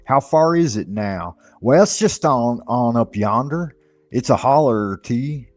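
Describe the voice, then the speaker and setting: A man's voice: mid-range voice, expressive, unhurried, no room sound, close to an everyday voice. A man voicing a folksy Southern backwoods man who gives directions, with a put-on Southern US accent and a homespun drawl.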